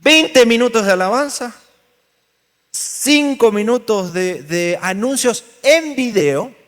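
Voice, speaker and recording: A man's voice: very high-pitched, animated, slow pace, a bit bright. Argentine man teaching church workshop. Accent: Argentine Spanish. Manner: humorous, storytelling, asks the audience questions. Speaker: pastor leading a church workshop. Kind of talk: lively workshop talk to a congregation. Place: large hall with an audience. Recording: hall reverb.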